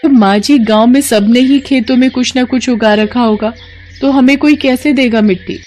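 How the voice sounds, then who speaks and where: Woman playing an earnest young village daughter-in-law, high-pitched. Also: normal speed, a little mellow, natural voice. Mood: anxious. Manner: pleading, eager, respectful. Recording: noticeable background noise.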